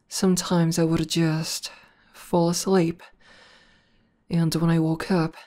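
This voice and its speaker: A woman acts out a muscular demoness girlfriend in an ASMR audio roleplay. Her voice is low and soft-edged, relaxed and very unhurried. She speaks with quiet pride and intimate ease, pausing as she thinks. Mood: reflective, proud. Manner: unhurried, hesitant pauses, conversational. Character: buff tomboy demoness girlfriend, proud battle legend, calmly affectionate.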